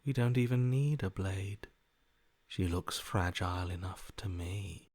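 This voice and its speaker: A cold, slow, menacing voice. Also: male voice, low-pitched, slightly rough, no echo, clean studio sound, full character voice. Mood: detached, predatory. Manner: deadpan, deliberate, hushed. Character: cold, detached, menacing inner voice, calmly violent. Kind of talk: video game character acting.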